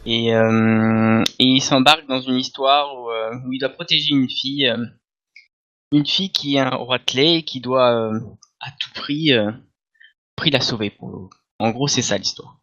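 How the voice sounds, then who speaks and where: A man's voice: medium pitch, a bit bright. Slightly muffled, hesitant Frenchman on a podcast. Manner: hesitant, halting delivery. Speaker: podcast panelist. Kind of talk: casual group discussion.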